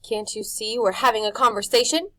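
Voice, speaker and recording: A woman's voice: a little high, steady tone, brisk, fairly bright tone, pitched above the performer's own voice, natural voice. A woman reading a storybook aloud, voicing a sly, oily man who hides his spite behind a wheedling charm. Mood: snarling. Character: grinning, oily, menacing male house-keeper.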